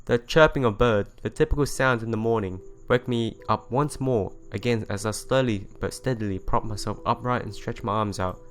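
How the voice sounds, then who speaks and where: A man's voice: on the low side, moderate pace, few pauses. A man reading visual novel narration and dialogue aloud as a let's play host, stumbling over and rereading the odd phrase, with game music behind him.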